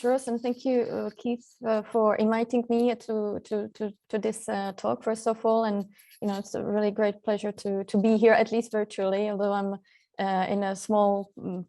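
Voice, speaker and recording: A woman's voice: medium pitch, mellow. A woman from Central Europe speaking as a guest expert on a webinar panel over a video call, with many fillers and restarts.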